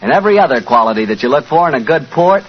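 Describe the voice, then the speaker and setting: An American man announcing and selling on 1940s radio in a folksy, conversational style, with a husky voice. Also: a little high, lively intonation, racing. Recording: muffled 1940s radio recording.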